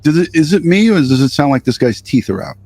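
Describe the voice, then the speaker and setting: A man's voice: fairly high pitch, sing-song, quick pace, warm tone, breathy edge. A man hosting a casual reaction show, amused and informal, often repeating himself as he comments. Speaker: show host.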